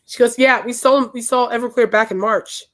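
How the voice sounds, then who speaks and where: A woman hosting a podcast in casual rambling chat, her voice hoarse and raspy from being sick, telling stories with enthusiasm. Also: on the high side, fairly even intonation, brisk. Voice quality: raspy, worn throat. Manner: chatty, enthusiastic storytelling. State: hoarse, voice shot from illness. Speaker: podcast host.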